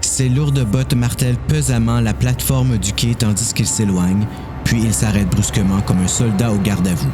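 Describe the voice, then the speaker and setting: A man with a Quebec French accent reading a suspense story in a measured, deliberate way as the narrator of an audio drama. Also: lowish voice, steady tone, music in the background.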